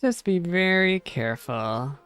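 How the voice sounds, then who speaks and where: A man's voice: high voice, expressive, measured pace, fairly bright tone, smooth, faint music behind. A man streaming a game, reacting casually, musing to himself and reading text aloud, often repeating phrases.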